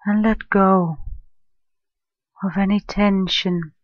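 A woman leading a guided meditation in a gentle, soothing, unhurried manner, leaving long pauses between phrases. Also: medium pitch, steady tone, no room sound, highs cut off. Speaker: meditation guide, life coach and therapist.

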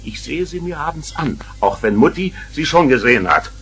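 A man's voice: on the high side, sing-song, moderate pace, a bit bright, soft background music. An actor playing a grumpy, strict father who blusters and scolds in a 1970s children's radio play. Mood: stern. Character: grumpy, strict father of two young girls.

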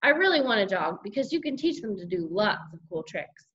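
A woman voicing an excitable, mischievous schoolboy who tells his own misadventures in a children's audiobook. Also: mid-range voice, fairly quick, slightly rough, muffled recording. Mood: eager. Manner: animated, childlike exclamations and grumbling. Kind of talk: first-person children's audiobook narration.

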